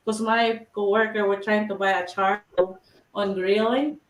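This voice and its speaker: A woman with a Filipino accent talks casually, her English loose and broken in a friendly way. Her medium-pitched voice rings in a roomy, echoey space. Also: fairly even intonation, measured pace, far from the mic. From Philippines. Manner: chatty, broken English phrasing. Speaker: guest in a group chat. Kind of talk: casual chat.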